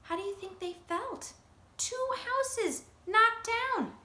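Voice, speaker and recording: A woman's voice: very high-pitched, takes it slowly, sharp tone. A woman reading a picture book aloud to children like a teacher, expressively, with questions to her listeners. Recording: roomy echo, faint background noise.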